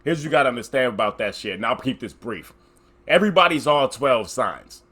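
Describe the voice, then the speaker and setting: A man's voice: mid-range voice, lively intonation, fairly quick, a bit bright, husky. A man in his forties hosting a podcast, joking around in a laid-back, profane way. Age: 40s. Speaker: podcast host. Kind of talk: casual podcast chat.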